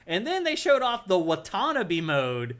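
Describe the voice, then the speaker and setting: A man's voice: high voice, wildly swooping pitch, measured pace, fairly bright tone. A man co-hosting a casual game talk show, easygoing, telling anecdotes and agreeing often. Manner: easygoing, tells anecdotes, frequent agreeing "yeah". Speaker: podcast co-host. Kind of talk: casual chat about games.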